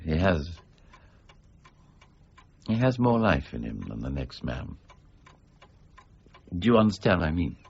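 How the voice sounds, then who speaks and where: An actor playing a learned, middle-aged official in a period radio drama, formal, precise and a little hesitant. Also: male voice, low-pitched, big pitch swings, measured pace, gravelly, long pauses, darkish tone, husky, lo-fi sound, heavily acted. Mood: wistful.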